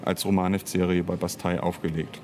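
Low-voiced man with background noise. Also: flat delivery, a little fast, a little mellow. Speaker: interviewed audio drama author and director. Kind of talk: casual interview talk.

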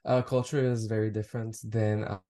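A man's voice: fairly low pitch, little pitch movement, fairly quick, warm tone. French Canadian man, reflective and hesitant. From Montreal, French Canada. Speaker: fashion researcher and collector. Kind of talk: casual podcast conversation.

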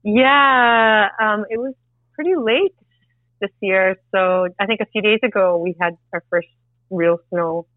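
A woman musician from Canada talks in a relaxed, easy way, full of fillers and asides. Her voice comes down a tinny, dry phone line. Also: mid-range voice, unhurried, dry recording. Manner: relaxed, warm storytelling with fillers. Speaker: musician guest. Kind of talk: interview over a phone line.

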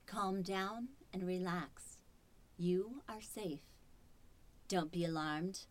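An actress voicing a calm, measured, reassuring voice-assistant app in a radio horror drama. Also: female voice, lowish voice. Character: soothing, sinister female voice-assistant app.

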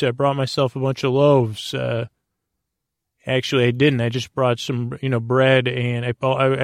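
A man with a creaky voice hosting a bedtime podcast, delivering a halting, meandering, goofy comic monologue. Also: medium pitch, no room sound, studio quality. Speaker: podcast host.

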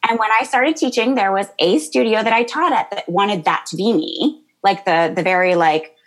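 Woman yoga teacher, high-pitched and bubbly, talks casually in an interview. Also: normal speed. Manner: bubbly, laughs often, many fillers. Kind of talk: interview answers, casual chat.

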